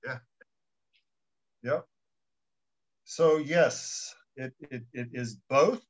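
A man's voice: medium pitch, very slow. A man from South Africa co-hosting a Bible discussion, speaking conversationally and emphatically, repeating words as he goes, on dull lo-fi audio. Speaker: school principal co-hosting a Bible study.

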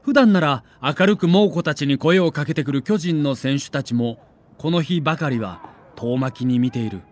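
A man narrating a scripted documentary in a dramatic, measured storytelling manner. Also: on the high side.

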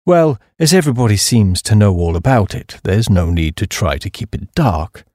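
A man voicing a hapless, dim young upper-class English gentleman who blusters and rambles in slang. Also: on the low side, very animated, normal speed, no echo, clean studio sound. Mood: gloomy, rambling.

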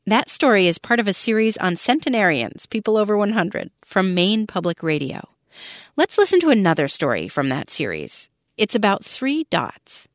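A woman's voice: medium pitch, sing-song, normal speed, no room sound. Female radio host, dry studio sound. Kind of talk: scripted on-air introduction.

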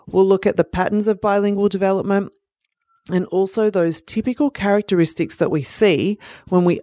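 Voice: medium pitch, darkish tone, no echo, clean studio sound. Speech pathologist teaching. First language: Italian. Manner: explanatory, with frequent "um" and "you know" fillers. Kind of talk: educational presentation.

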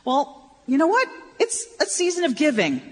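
A woman's voice: high voice, huge pitch swings, moderate pace. A woman acting in a live radio play as an eager, earnest employee of an eccentric boss. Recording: live stage, long reverb, clear noise.

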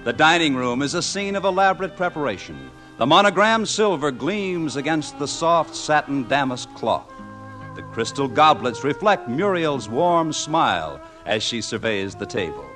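A man's voice: fairly high pitch, very animated, normal speed, sharp tone. A man announcing and narrating a 1950s radio comedy in a polished storytelling manner. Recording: vintage radio broadcast with music.

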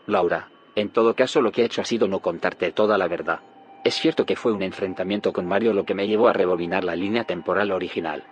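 An actor playing a grave, secretive scientist in an audio drama, solemn and explanatory. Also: male voice, low voice, dull audio, a little hiss or hum, natural voice. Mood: confessional. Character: grave, secretive male scientist haunted by failed timelines. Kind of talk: audio fiction drama acting.

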